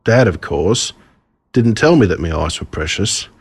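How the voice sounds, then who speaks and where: An Australian man reading a scripted children's storybook in a dramatic, deliberate way, relishing the gruesome parts. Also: lowish voice, animated, husky. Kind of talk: scripted storybook narration told as a boy.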